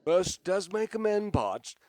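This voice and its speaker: A pompous, high-pitched Shakespearean ham in a hall. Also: male voice, very animated, takes it slowly, a little mellow, exaggerated voice. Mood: pompous, tipsy. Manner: theatrical, grandiose declaiming. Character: pompous, tipsy, vain old-school Shakespearean stage actor. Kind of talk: radio play acting.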